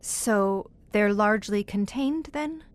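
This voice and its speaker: An actress voices an inquisitive, educated woman in an audio horror play. Her medium-pitched voice stays composed. She phrases things formally and probes with careful questions. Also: expressive, slow, no echo. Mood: curious, reasoning. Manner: formal, literate, probing. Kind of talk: audio horror drama acting.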